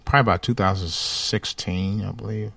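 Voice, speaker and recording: A man talking casually about his own life, rambling and restarting phrases, with a light voice that has little bass in it. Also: fairly even intonation, fairly quick, creaky, fairly bright tone, dry recording. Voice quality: light voice without much bass. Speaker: podcast host. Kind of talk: casual personal monologue.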